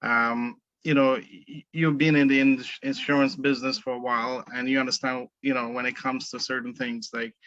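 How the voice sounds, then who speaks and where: A middle-aged man from the Caribbean, a guest in a casual interview, speaking in a measured, earnest way with many fillers and restarts. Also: mid-range voice, flat delivery, a bit bright, no room sound, highs cut off. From Caribbean, born in Trinidad, raised in Barbados. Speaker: guest, entrepreneur.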